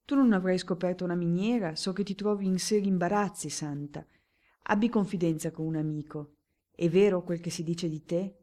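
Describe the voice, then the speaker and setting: Lady reading as a sly young marquis, low mellow voice, coaxing and hushed. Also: steady tone, moderate pace, natural voice. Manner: hushed, persuasive, confiding. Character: sly, genial young male marquis, smooth-talking friend. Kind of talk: audiobook character voice.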